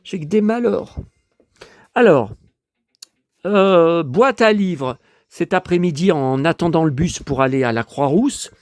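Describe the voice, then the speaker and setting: An older man from France with a hoarse voice, grumbling and talking to himself as he shows his latest finds to viewers in a casual monologue. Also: a little high, animated, measured pace, long pauses, a little mellow, dry recording, studio quality. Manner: grumbling, exasperated, talks to himself. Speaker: vlogger showing flea-market finds.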